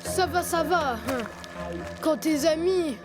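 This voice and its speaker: Young male tiger cub, cartoon, playful, teasing, very high, slow-paced, voiced by a woman. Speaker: dubbing voice actor. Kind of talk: children's cartoon dubbing. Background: music.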